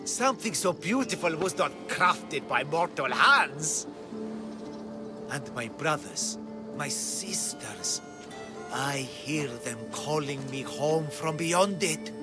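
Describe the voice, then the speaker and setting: Pompous self-styled god, swooping theatrical voice. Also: male voice, on the high side, animated, music in the background. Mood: wistful, confiding. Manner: theatrical, pompous, dramatic swells and pauses. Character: flamboyant self-proclaimed god, grandiose eccentric man with devoted followers. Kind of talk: video game cutscene acting.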